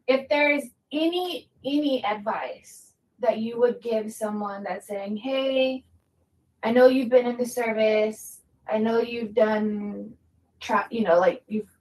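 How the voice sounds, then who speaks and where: A woman interviewing her husband live, asking him gentle questions. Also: fairly high pitch, slow, distant mic, low-quality recording. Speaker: interviewer, wife. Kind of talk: casual live chat.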